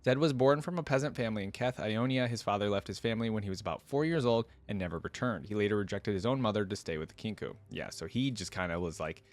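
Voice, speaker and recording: A man's voice: on the low side, fast talker, hardly any pauses. A man giving casual reaction commentary, reading aloud and adding informal, exclaiming asides as host.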